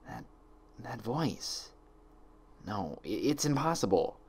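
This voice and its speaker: A man's voice: medium pitch, sing-song, takes it slowly, darkish tone, husky, heavily acted. Man voicing an earnest, anxious young female unicorn. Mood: shocked.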